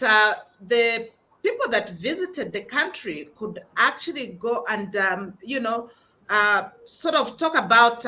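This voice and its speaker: A woman hosting an interview podcast, speaking hesitantly with many pauses and filler sounds. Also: a little high, sharp tone. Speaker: podcast host.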